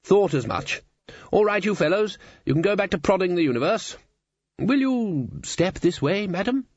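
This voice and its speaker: Guy doing a hearty old wizard boss for a storybook, chatty and polite, pitch all over, shifted up. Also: expressive, normal speed, dry recording, lo-fi sound. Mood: courtly, hearty. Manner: bluff, conversational, courteous with hesitations. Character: jovial older male university wizard leader, bluff and charming.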